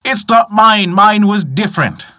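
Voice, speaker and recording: A man voicing a greedy, lying woodcutter in a storybook tale, loud, whiny and exaggerated. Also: on the high side, sing-song, slow pace, pitched above the performer's own voice, exaggerated voice. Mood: dismissive. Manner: yelling, exaggerated.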